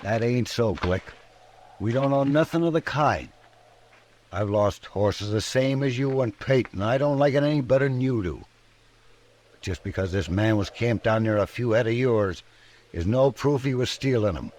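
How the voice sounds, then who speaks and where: An American actor playing a principled, plain-spoken rancher who objects firmly, in a radio western. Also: male voice, mid-range voice, lively intonation, normal speed, a little mellow, natural voice. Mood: earnest protest. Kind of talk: 1950s radio western acting.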